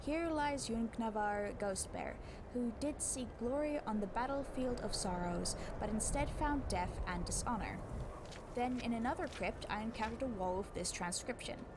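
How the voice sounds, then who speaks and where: A woman's voice: a little high, normal speed. Woman reading aloud over noticeable noise. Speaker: book narrator giving a voice demo. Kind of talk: storybook read-aloud, scripted narration.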